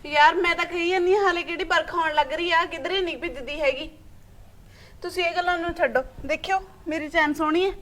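Woman acting a high, echoey Punjabi elder daughter-in-law. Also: fairly even intonation, fast, fairly bright tone. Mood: chiding. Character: proud, bossy elder daughter-in-law, fond of gold. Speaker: actor in a family drama. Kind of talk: colloquial domestic drama acting. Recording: roomy, echoing sound.

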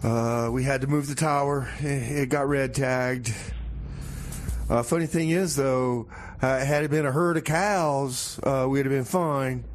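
A man's voice: mid-range voice, steady tone, measured pace, a little hiss or hum. A man hosting a community radio show with casual, comedic DJ patter, rambling in a folksy way and repeating his phrases.

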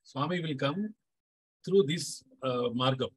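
A man's voice: mid-range voice, lively intonation, unhurried, slightly rough, dry recording. A middle-aged man, adding to a story in casual conversation. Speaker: Carnatic musician, co-speaker.

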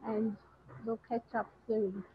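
An older Australian woman, a chef, talking casually about her cooking on a headset mic in a kitchen, with many ums and pauses. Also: a little high, flat delivery, narrow, tinny audio, slight background noise. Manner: warm, plain-spoken, many ums and pauses. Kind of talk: casual cooking talk to a webinar.

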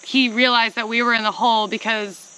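A woman's voice: on the high side, fairly even intonation, brisk, sharp tone, clean tone. Young woman chiming in outdoors. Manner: chiming in with short agreeing remarks. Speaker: vlog co-host. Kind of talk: casual chat. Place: outdoors in a wooded clearing. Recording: outdoor camera audio from a distance.

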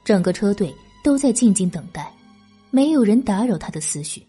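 Expressive woman narrator with a soft-edged voice. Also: mid-range voice, normal speed, slightly rough, soft background music. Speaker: audiobook narrator. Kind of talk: dramatic scripted narration of a historical novel.

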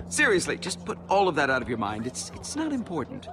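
An actor playing a nervous, evasive innkeeper in a video game, fussy, stammering and defensive. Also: male voice, a little high, wildly swooping pitch, brisk, background noise. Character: nervous, shifty, evasive male innkeeper with secrets. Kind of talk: animated game voice acting. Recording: loud music behind the dialogue.

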